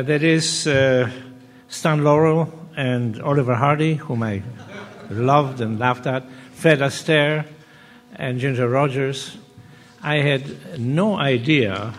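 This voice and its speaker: An older man, born and raised in Poland, giving a measured academic talk on a panel, pausing often and restarting phrases. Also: mid-range voice. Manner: measured, with frequent pauses and restarts. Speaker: panel speaker. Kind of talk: academic lecture. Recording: reverberant hall.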